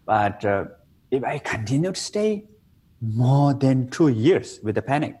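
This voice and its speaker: Nepali-accented man, lively husky voice. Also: medium pitch, a little slow. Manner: simple broken English, playful, laughs at himself. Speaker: meditation teacher. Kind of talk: relaxed interview conversation.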